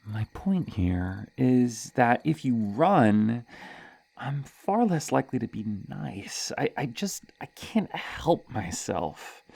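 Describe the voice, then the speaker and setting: Casting: playful female slasher for ASMR horror woman acting, unhurried, close and dry, murmuring menace with coy stammers and whispers. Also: extremely low pitch, gravelly, warm tone, slightly rough, dry recording, full character voice. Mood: coaxing menace. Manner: teasing, hesitant stammer, menacing, drifting into whispers. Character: sadistic playful female serial killer hunting in woods.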